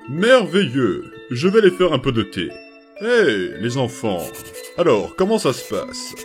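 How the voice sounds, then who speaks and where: A kindly adult skeleton, played by a man, coaxes strange little ones with hesitant, halting patience. His middle-pitched voice swings up and down expressively. It is a fan comic dub with faint music underneath. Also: huge pitch swings. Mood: gentle. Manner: hesitant, soft-spoken, full of small pauses and fillers. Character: gentle patient adult male skeleton caring for strange children.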